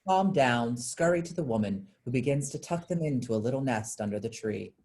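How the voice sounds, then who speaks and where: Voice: mid-range voice, animated, fairly quick, darkish tone. A narrator reading out scripted stage directions for a play.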